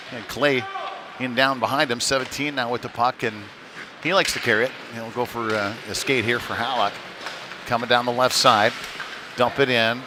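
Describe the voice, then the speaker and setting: A man giving halting, conversational live play-by-play of a youth hockey game in a folksy manner. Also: mid-range voice. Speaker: play-by-play commentator. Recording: noisy live rink stream.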